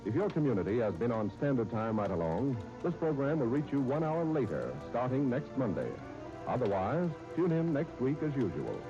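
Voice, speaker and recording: A man's voice: medium pitch, big pitch swings, moderate pace. A man announcing in 1930s radio style, reading a scripted sponsor message. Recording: muffled 1939 radio disc recording. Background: music.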